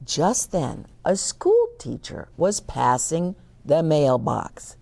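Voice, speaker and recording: Slow, expressive woman reading to kids. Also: sits low, creaky. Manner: expressive, explains words to children. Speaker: children's story reader. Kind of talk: reading a picture book aloud.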